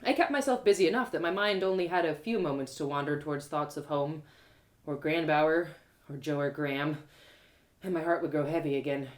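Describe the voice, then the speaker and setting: A woman storyteller reading first-person fiction aloud in an expressive, theatrical way. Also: deep voice, brisk. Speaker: author and storyteller.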